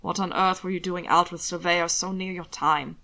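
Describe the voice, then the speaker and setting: A woman reading aloud, voicing a composed aristocratic man who is a doctor, with a lowered, soft, measured delivery. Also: fairly even intonation. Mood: reassuring.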